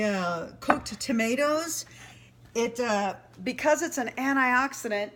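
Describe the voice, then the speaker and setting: A woman in her fifties talks through a recipe in her home kitchen. She is chatty and rambling and laughs easily, and her voice sits a bit high. The camera mic picks up a faint hiss behind her. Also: fairly bright tone. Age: 50s. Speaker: home cooking vlogger. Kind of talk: casual cooking demo talk.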